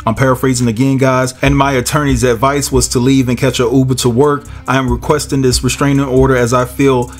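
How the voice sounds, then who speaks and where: A man's voice: medium pitch, flat delivery, a little fast, hardly any pauses, soft background music. A man hosting a commentary channel, nasal from a head cold, reading a court filing aloud and then giving his casual opinion to viewers. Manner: casual, addresses viewers as y'all and guys.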